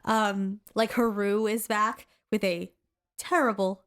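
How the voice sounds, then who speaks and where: A woman's voice: mid-range voice, steady tone, takes it slowly, a bit bright. Congested woman chatting on a podcast. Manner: chatty, rambling with many fillers and restarts. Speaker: podcast co-host. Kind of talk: casual chat.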